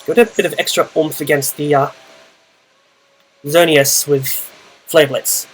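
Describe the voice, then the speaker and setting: A young Australian man with a naturally loud voice, casually narrating his own Pokemon battle, thinking aloud with fillers. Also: fairly high pitch, steady tone, a little slow, a little hiss or hum, rough recording. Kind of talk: casual play-by-play gaming commentary.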